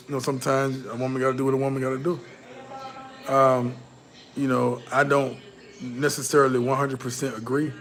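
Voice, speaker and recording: Blunt, animated man talking straight. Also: medium pitch. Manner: blunt, profane, repetitive fillers. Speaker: vlogger. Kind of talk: casual commentary to camera.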